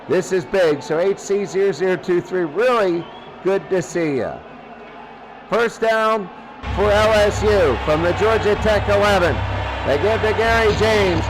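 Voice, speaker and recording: A man's voice: high voice, a little hiss or hum, rough recording. A man calling live sports play-by-play as an announcer, speaking emphatically and repeating phrases for effect.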